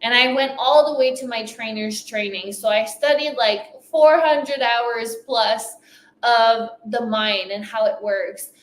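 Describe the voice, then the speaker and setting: A woman's voice: fairly high pitch, reverberant. A woman whose first language is French, speaking with a light French accent and giving an emphatic motivational talk at a summit, repeating phrases for effect. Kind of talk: motivational speech.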